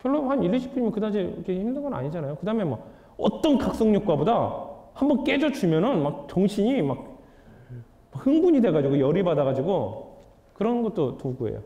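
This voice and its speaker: Animated man lecturing in a reverberant hall. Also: high-pitched, a little fast, muffled recording. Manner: asks the audience questions, conversational and candid. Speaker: author giving a lecture. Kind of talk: lecture to an audience.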